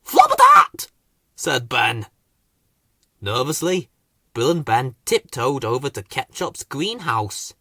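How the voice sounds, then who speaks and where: A man reading a children's story as narrator, in a dramatic, expressive scripted delivery. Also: mid-range voice, slow pace, breathy edge, no echo, clean studio sound.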